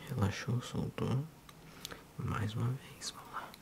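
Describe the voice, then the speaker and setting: A man in his thirties with a Brazilian accent, speaking softly and unhurriedly in an ASMR role-play as a physiotherapist addressing the listener. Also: fairly low pitch, fairly even intonation, creaky. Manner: soft, unhurried, hushed.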